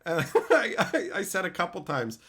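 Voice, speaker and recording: A man with a loud voice chatting casually on a live stream, swearing and laughing often. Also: sits high, big pitch swings, moderate pace, breathy edge. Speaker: streamer host.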